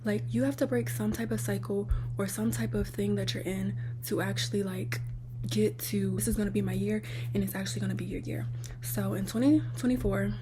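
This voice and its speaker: A woman vlogger with a raspy, slightly worn voice, chatting casually and rambling with lots of fillers. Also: medium pitch, steady tone, brisk, over music. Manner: rambling, lots of "like", talks to viewers directly. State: losing her voice. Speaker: lifestyle vlogger.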